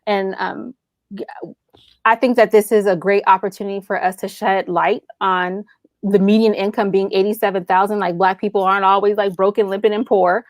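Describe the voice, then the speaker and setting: Woman, American accent, mid-range pitch, enthusiastic, emphatic, conversational podcast guest, dry close sound. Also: flat delivery, moderate pace, no room sound. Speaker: podcast guest, audio drama writer and producer. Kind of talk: casual conversational interview.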